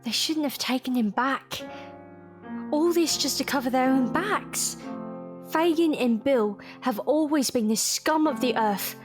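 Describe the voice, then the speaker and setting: A highish female voice, acted for an audio drama. She is a working-class woman, earnest, weary and resolute, with soft music behind her. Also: unhurried. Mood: resigned, resolute. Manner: earnest, plain-spoken. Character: weary, determined, kind young working-class London woman.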